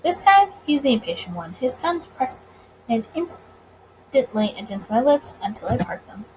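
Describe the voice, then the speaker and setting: High-voiced woman reading a story, noisy recording. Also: sing-song, normal speed, a little mellow. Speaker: visual novel let's player. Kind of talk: expressive story reading with reactions.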